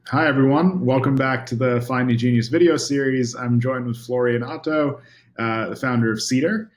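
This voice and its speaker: A man hosting a video interview series, reading a sponsor note and asking questions in a quick, upbeat way with fillers. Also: medium pitch. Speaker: podcast host.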